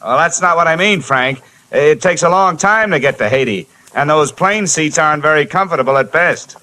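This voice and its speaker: A man's voice: fairly high pitch, expressive, moderate pace, bright, forceful tone, a little hiss or hum. An actor playing a wry, tough male freelance insurance investigator in an old radio drama, with dry wisecracks and first-person narration. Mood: easygoing. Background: music.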